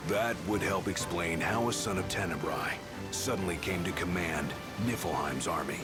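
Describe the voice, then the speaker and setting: A man playing a very deep, blunt tough guy. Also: normal speed, natural voice. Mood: distrustful. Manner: blunt, terse. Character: gruff, blunt, suspicious male bodyguard type. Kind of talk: video game cutscene acting. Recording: loud music behind the voice.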